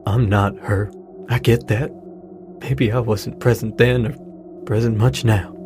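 A man playing a grieving, apologetic middle-aged widowed father with a halting delivery in a naturalistic audio drama. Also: on the low side, fairly even intonation. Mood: remorseful, resigned. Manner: halting, hesitant, sighing delivery. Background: music.

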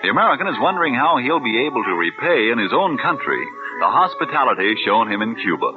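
A male announcer reading a vintage radio advertisement in a smooth delivery. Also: medium pitch, sing-song, fast. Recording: phone-like old radio with music bed.

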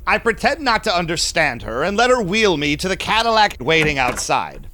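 A man's voice: sits high, creaky, bright, forceful tone, exaggerated voice. An actor playing a shady, smug vampire businessman who narrates his audio drama with sly, mock-polite theatricality. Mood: thrilled. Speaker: narrating lead character.

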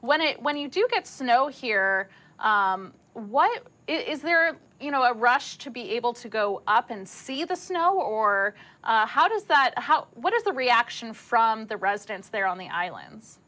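A woman's voice: on the high side, big pitch swings, unhurried, pushed and bright. A woman hosting a news segment, asking a guest questions in a casual, friendly way with some fillers. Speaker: news anchor. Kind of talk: interviewing a guest.

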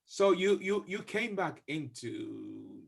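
A man's voice: a little high, big pitch swings, slow pace, gravelly, slightly rough. A man interviewing a guest in a casual way, often laughing and stumbling as he starts his questions. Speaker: podcast interviewer.

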